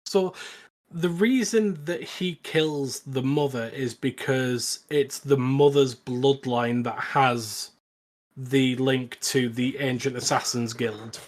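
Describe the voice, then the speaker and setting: A man co-hosting a podcast, leading a casual film recap in a halting, self-correcting way. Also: medium pitch, fairly even intonation.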